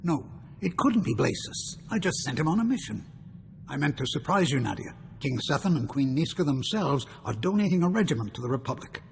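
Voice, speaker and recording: A man's voice: on the high side, big pitch swings, fairly quick, vocal fry. An actor playing an anxious, well-meaning statesman in a video game, fretful and formal. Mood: concerned. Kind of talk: video game cutscene acting. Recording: long reverb, faint noise.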